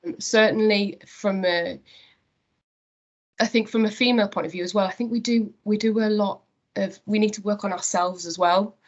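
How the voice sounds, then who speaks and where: A woman's voice: mid-range voice, steady tone, moderate pace, muffled recording. A woman with a Northeast English accent speaking earnestly in a conversational interview as a company director. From Northeast England.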